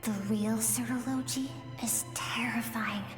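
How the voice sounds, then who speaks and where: Actress as a high-voiced storyteller in echoing reverb. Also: female voice, fairly even intonation, a little slow, lightly acted. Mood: knowing. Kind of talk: scripted game dialogue. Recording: echoey reverb with music underneath.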